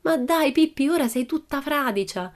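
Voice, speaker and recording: A gentle, careful little girl , as a woman narrator puts her on, speaking high and soft-edged. She sounds a touch anxious in a storybook. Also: expressive, moderate pace.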